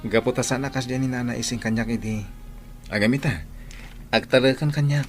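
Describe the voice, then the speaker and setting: A man's voice: medium pitch, fairly even intonation, fairly quick, close to an everyday voice. A man playing an earnest, devoted young suitor in Ilocano radio drama style, with an Ilocano Filipino accent. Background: music.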